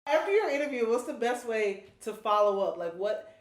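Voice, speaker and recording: A woman hosting a casual chat, welcoming everyone and steering the talk with quick agreeing replies. She sounds set back from the mic, in a room with a roomy echo. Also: a little high, big pitch swings. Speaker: host. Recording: echoey room, from a distance.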